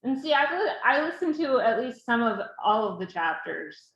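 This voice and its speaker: A woman's voice: fairly high pitch, sing-song, normal speed, fairly bright tone, roomy sound, muffled recording. A woman author chatting casually in an interview, giving brief, friendly replies.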